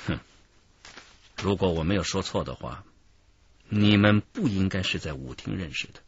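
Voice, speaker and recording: An actor playing a stern, shrewd police interrogator in a radio crime drama, husky-voiced, lecturing with emphatic, measured authority. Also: male voice, deep voice, animated, dull audio, slight background noise. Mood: stern, pressing.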